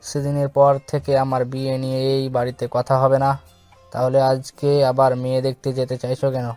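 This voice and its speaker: A man's voice: mid-range voice, completely flat delivery, normal speed, warm tone, smooth, no room sound, lightly acted. A man playing a stubborn, flippant young bachelor in an audio love story. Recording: music under the voice.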